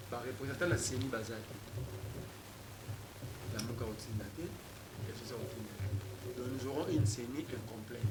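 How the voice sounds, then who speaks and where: A man speaking as a political talk show guest, emphatic and repetitive, switching between French and Lingala. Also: medium pitch. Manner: emphatic, mixes French and Lingala, repeats names insistently. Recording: echoey room with loud background noise.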